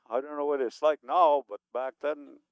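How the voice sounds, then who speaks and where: A man's voice: on the high side, steady tone, moderate pace, clean tone, no echo. A man in his seventies giving rambling, unscripted remarks at a community event, chuckling often as he talks. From Manitoba, Canada. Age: 70s.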